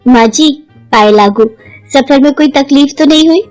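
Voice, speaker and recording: Woman acting an eager, high-pitched young daughter-in-law. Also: moderate pace, darkish tone, close to an everyday voice. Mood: eager to please. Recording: music behind the voice.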